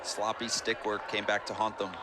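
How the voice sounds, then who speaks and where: A man's voice: on the low side, completely flat delivery, normal speed. A man giving analysis as a color commentator during a live televised sports broadcast. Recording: loud stadium crowd and noise. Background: loud crowd at a game.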